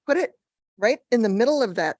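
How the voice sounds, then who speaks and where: A woman on a budget committee with a hoarse voice, chatty and blunt as she asks questions at a public meeting. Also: on the high side, animated, brisk, darkish tone, no echo.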